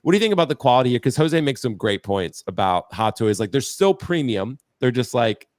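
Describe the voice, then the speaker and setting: A man's voice: mid-range voice, very animated, a little fast, a little mellow, no room sound, studio quality. A man hosting a casual collectibles podcast with a worn, strained voice, chatting loosely and passing questions to his co-host. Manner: loose, sweary, keeps throwing to his co-host.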